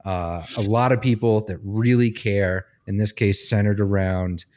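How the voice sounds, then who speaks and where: A man explaining things in casual podcast chat, in a halting, thinking-aloud way. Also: fairly low pitch, fairly even intonation, darkish tone. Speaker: podcast co-host.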